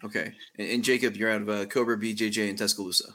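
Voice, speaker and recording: A man hosting a podcast interview, steering the topics with frequent "uh" pauses. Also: fairly low pitch, flat delivery, a bit bright. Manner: steering the talk, many "uh" pauses. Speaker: podcast host.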